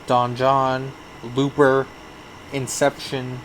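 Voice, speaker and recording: A man's voice: medium pitch, completely flat delivery, drawn-out words, slight background noise, poor audio. Laid-back man listing film credits. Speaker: podcast co-host. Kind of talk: casual movie review chat.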